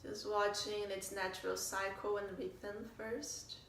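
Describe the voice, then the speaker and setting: Slow, even-toned woman guiding meditation. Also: mid-range voice. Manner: calm, gentle, unhurried phrasing with long pauses. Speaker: meditation teacher. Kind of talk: guided meditation, soft instruction. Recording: roomy, distant mic, faint noise.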